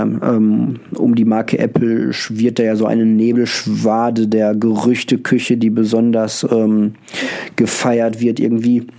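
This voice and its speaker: A man's voice: medium pitch, dark tone. A male podcast host with a cold and an occasional cough, talking casually and hesitantly, with many filler sounds and restarts. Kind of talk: casual solo podcast monologue.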